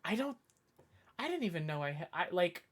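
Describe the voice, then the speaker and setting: A woman's voice: lowish voice, big pitch swings, brisk, slightly rough. A woman from the suburbs outside Boston with a light Boston accent, chatting casually with her stream chat and rambling through restarts.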